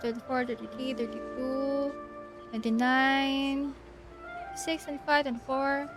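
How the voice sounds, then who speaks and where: A woman streamer thinking aloud in a casual, giggly way as she plays a video game live. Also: sits high.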